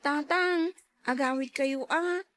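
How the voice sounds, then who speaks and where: A woman's voice: sits high, slow pace, a bit bright. A woman playing a dutiful, affectionate young schoolgirl daughter in a radio drama. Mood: earnest, affectionate. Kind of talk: Ilocano radio drama acting.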